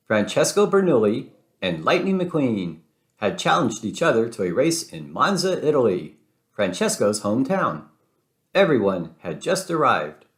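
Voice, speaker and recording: A man narrating a children's storybook aloud in an expressive read-aloud style. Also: mid-range voice. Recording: roomy, echoing sound.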